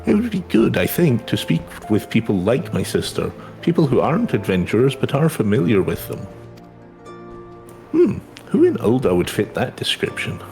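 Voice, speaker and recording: A man's voice: mid-range voice, huge pitch swings, normal speed, a little mellow, soft background music. A man voicing an insecure young adventurer from a fantasy game, hesitant, earnest and polite. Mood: earnest, eager. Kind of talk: reading game dialogue aloud in character.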